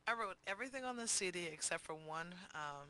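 A middle-aged woman from Cincinnati, a gospel singer talking about her music on a radio show, with a loud, boisterous voice and frequent laughter. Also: fairly low pitch, animated, fairly quick, slight background noise. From Cincinnati, USA. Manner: laughs often while talking. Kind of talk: radio interview. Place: small radio studio. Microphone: boom mic close up.

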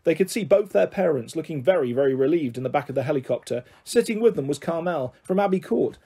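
High, lively male storybook reader. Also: fast, vocal fry, slightly rough. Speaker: storyteller reading a children's novel. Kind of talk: expressive storybook narration.

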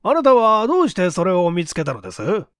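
A man's voice: high-pitched, wildly swooping pitch, quick pace, a bit bright, dry recording, studio quality, pitched above the performer's own voice, full character voice. A man voicing a languid police chief in an audiobook mystery, questioning witnesses in a weary, probing way.

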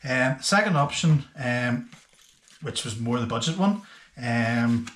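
A man, hosting a casual boating explainer to camera in a hesitant, unscripted way with frequent pauses. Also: medium pitch, lively intonation, fairly bright tone. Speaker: boating video host. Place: small indoor workshop room with bare walls. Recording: echoey bare room.

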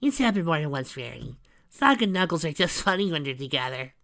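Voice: mid-range voice, big pitch swings, fast, a bit bright, no echo, clean studio sound, pitched above the performer's own voice. A performer voicing an earnest, cheerful young boy fox sidekick, eagerly explaining in a light, youthful tone. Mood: amused.

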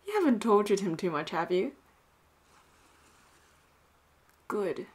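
A woman acting a flirty, dominant and cocky female pirate captain in a roleplay audio drama, teasing and breathy, often dropping toward a whisper. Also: mid-range voice, sing-song, a little fast. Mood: smug, flirty. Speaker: voice actor.

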